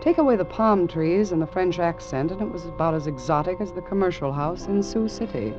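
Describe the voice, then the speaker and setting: An actor playing the wry narrator of an old-time radio suspense drama. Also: male voice, high voice, lively intonation, fairly quick. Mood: dry, descriptive. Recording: muffled old radio recording with music.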